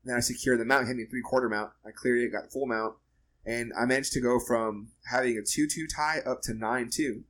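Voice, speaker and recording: A man's voice: medium pitch, fairly even intonation, brisk, a bit bright. A man telling a laid-back, laughing story about his jiu-jitsu tournament, swearing freely. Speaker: jiu-jitsu competitor, guest. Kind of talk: casual storytelling.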